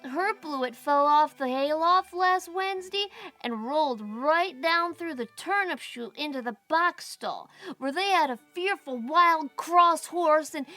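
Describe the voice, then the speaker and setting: A woman voicing a blunt, defiant little boy in an audiobook, with a childish, drawn-out, complaining delivery. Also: extremely high pitch, sharp tone, pitched above the performer's own voice, heavily acted. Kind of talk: audiobook character voice.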